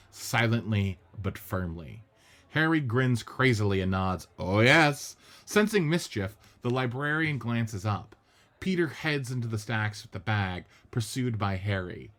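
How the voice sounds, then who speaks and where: Low-voiced man reading stage directions. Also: a little slow. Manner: steady, matter-of-fact reading. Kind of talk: script reading narration.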